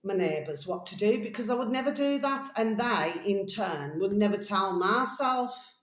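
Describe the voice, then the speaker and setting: A tired woman video blogger chatting casually and ramblingly to her viewers, pausing often and repeating pet endearments. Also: medium pitch, animated. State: mentally tired, drained. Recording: echoey, roomy sound.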